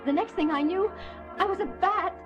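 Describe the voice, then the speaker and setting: A woman's voice: extremely high pitch, normal speed. A woman playing a fragile, remorseful wife in a 1970s superhero audio drama, pleading melodramatically. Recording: dull 1970s record with music.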